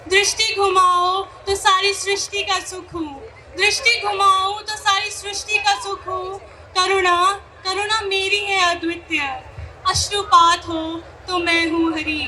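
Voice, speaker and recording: A woman's voice: very high-pitched, bright, forceful tone, rough recording. A woman performing dramatic slam poetry, declaiming and repeating lines for emphasis. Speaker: poet performer. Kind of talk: dramatic slam poetry recitation as Mother Earth.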